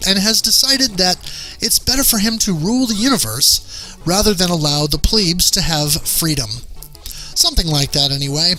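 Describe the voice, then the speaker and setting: Guy reading a scripted game review, kinda theatrical and measured, bright voice, bit of music behind. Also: fairly high pitch, rough recording. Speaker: video game review host. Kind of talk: scripted narration.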